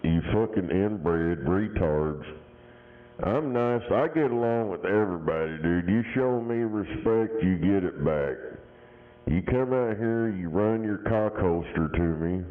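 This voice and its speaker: Deep low-pitched man, crude mocking banter, tinny radio transmission. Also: animated, unhurried. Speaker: CB radio talker. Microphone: radio handset.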